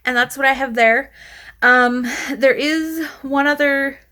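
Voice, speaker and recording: Chatty woman vlogger, kinda high voice, rambly and cuts herself off, super casual. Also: steady tone, takes it slowly, sharp tone. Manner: chatty, meandering, self-interrupting. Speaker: book vlogger, host. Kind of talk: casual chat to camera, rambling.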